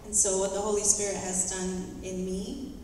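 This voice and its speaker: A woman giving a heartfelt personal testimony in a church, speaking in short phrases and pausing after each one for an interpreter. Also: mid-range voice, little pitch movement, dark tone, a little hiss or hum. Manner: earnest, heartfelt. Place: large church sanctuary. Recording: echoey hall from far off.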